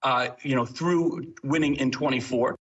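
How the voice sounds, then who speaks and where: Hesitant, raspy man, dull sound. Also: medium pitch, fairly bright tone. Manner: hesitant, halting. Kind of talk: unscripted interview answer. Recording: dull, lo-fi news clip.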